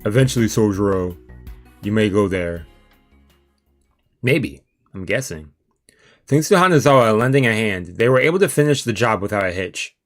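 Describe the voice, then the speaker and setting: A man's voice: fairly low pitch, expressive, moderate pace, pauses often, soft background music. Informal man reading a visual novel aloud. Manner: informal, slangy, reacts in asides. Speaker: let's play streamer.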